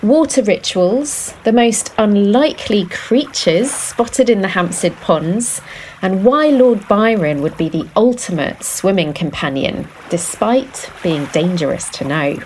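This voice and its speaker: Measured woman podcast host. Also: medium pitch, lively intonation, darkish tone, a little hiss or hum, rough recording. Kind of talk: scripted narration and interview questions.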